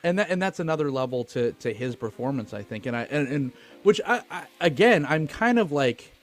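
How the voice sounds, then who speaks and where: A man's voice: on the high side, animated, brisk. A man co-hosting a podcast, discussing films casually in an emphatic, informal way peppered with swearing. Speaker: podcast co-host. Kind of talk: casual movie review chat. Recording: music playing behind the voice. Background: background music.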